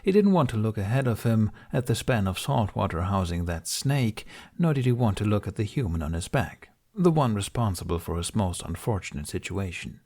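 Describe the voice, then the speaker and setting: A man's voice: lowish voice, sing-song, fairly quick, few pauses, a little mellow. A man reading a story aloud as scripted audiobook narration.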